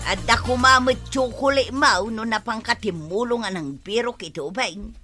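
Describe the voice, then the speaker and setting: A woman's voice: on the high side, moderate pace, pushed and bright, soft background music. A woman playing a bossy, scolding village wife with an Ilocano accent in a radio comedy drama, exclaiming and stretching her words. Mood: gossipy.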